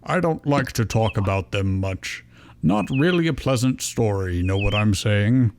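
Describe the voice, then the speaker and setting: A man voicing a calm, caring but stern frog ninja creature, scolding yet gentle, in a fan fiction reading. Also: fairly low pitch, unhurried, slight background noise. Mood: matter-of-fact.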